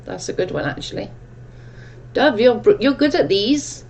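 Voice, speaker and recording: A woman's voice: fairly high pitch, lively intonation, normal speed, a little mellow, a little hiss or hum. A woman with a strong Welsh accent hosting a casual livestream chat, reading viewer comments aloud and answering them in a chatty, rambling way. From Wales. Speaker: livestream host.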